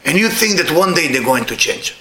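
A lively, bright-voiced man with an Israeli accent lectures a live audience, his pitch swinging widely and climbing whenever he mimics the people he quotes. He is middle-aged, his English loose in grammar. The sound rings around a roomy hall. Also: a little fast. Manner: animated, leaps high to act out quoted people, non-native grammar.

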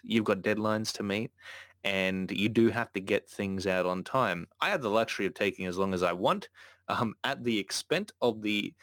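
A man's voice: fairly low pitch, no echo. A man hosting a casual podcast, talking earnestly with frequent self-corrections. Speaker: podcast host.

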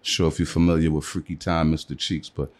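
A man's voice: extremely low pitch, little pitch movement, fairly quick. A man in his forties from Trinidad with a New York accent, talking casually as an interview guest with a lot of street slang and easygoing "yeah, man" fillers.

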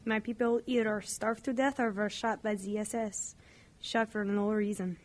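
Actress as a subdued, even-toned young camp survivor. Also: female voice, medium pitch, normal speed, natural voice. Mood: subdued, sorrowful. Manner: subdued, plain, short sentences. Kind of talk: radio drama acting.

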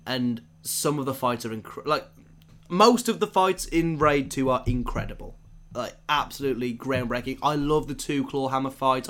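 A man with a cold hosting a casual film podcast, sounding hoarse and chatting with quick asides and restarts. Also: medium pitch, fairly bright tone. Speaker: podcast host.

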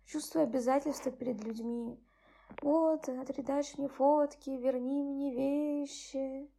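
Young woman, tired, soft subdued talk, high voice, gravelly, mellow tone. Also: steady tone, takes it slowly. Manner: soft, subdued, low-key. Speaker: channel host. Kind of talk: bedtime story reading and personal chat.

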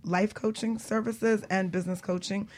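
A woman's voice: mid-range voice, fairly even intonation, normal speed, a little mellow. A woman hosting a casual radio interview in an effusive, joking way. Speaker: radio show host, life coach.

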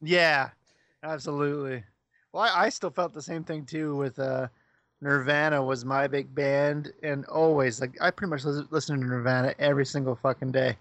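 A young man co-hosting a casual comedy podcast with a smooth voice, laid-back, laughing often and swearing a lot. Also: a little high, fairly even intonation, normal speed, fairly bright tone, dry recording. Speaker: podcast co-host.

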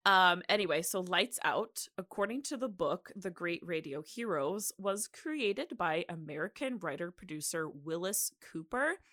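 A woman, probably in her thirties, hosting a podcast in a casual, chatty, animated and giggly way. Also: lowish voice, a little slow, few pauses, dry recording, studio quality. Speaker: podcast host.

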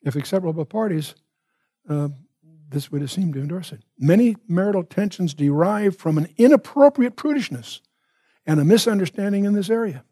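An older man teaching the Bible, reading verses and explaining them in a measured way, restating his points as he goes. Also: sits high, expressive, dark tone, slightly rough, no room sound.

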